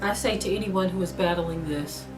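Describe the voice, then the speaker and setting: A woman preaching a sermon to an online audience, earnest and exhorting, slowing down to stress her points. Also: on the low side, music in the background, poor audio. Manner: earnest, exhorting, slow and emphatic with rising intensity. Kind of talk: spoken sermon to a video audience.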